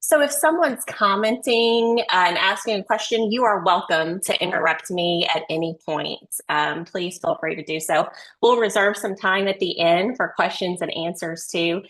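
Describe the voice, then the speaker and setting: A woman in her forties from Texas with a Southern drawl, giving a webinar lecture as a nurse educator over a video call in a warm, effusive manner. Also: fairly low pitch, poor audio. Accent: Texan Southern drawl. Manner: warm, effusive, slow-paced. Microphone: computer mic on video call.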